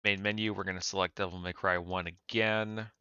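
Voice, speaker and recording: A man's voice: low voice, little pitch movement, fairly quick, pushed and bright, smooth, no room sound, lo-fi sound. A man talking informally through a casual how-to screen-capture video. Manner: informal, conversational. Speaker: tutorial video maker.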